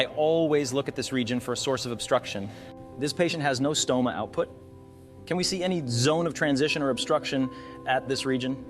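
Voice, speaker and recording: A man's voice: mid-range voice, a bit bright. A man narrating an explanatory case walkthrough as a radiology instructor, posing questions to the viewer as he teaches. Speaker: radiology instructor presenting cases.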